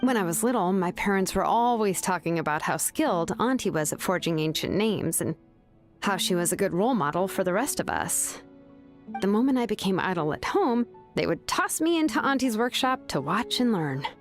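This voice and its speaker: An actress playing a relaxed, friendly young woman in a game, with faint music behind. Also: medium pitch, moderate pace. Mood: fond. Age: young adult. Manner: relaxed, casual, affectionate. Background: soft game music.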